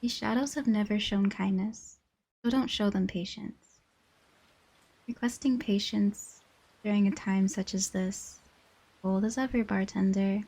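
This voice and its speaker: A woman's voice: medium pitch, fairly even intonation, measured pace, dark tone, slightly rough, low-quality recording. A woman voicing a stern, haughty inhuman guardian in a scripted dramatic monologue, formal and imperious. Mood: grave, commanding.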